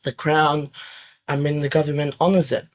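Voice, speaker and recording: A young man from New Zealand answers in a measured, sincere way, pausing with small fillers. His voice sits fairly high and stays level and even. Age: young adult. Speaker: youth guest. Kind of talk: reflective interview answers.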